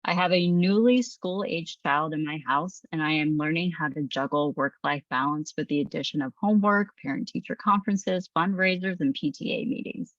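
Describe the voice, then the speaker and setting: A woman in her thirties narrates training slides in a calm, measured tone, her voice sitting on the lower side. The recording sounds a bit dull and muffled, close and dry with no room around it. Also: dry recording. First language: English. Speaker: presenter.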